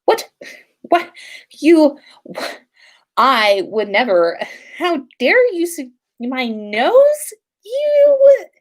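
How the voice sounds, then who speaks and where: A woman's voice: high voice, very animated, very slow, long pauses, voice pushed up, exaggerated voice. A woman voicing a haughty, easily flustered teenage schoolgirl from a storybook, indignant and snobbish. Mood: outraged.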